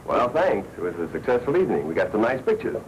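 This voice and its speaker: An actor playing a wry, shrewd newspaper editor with dry, clipped banter in a 1940s crime film. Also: male voice, lowish voice, big pitch swings, fast talker. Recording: noisy 1940s film soundtrack.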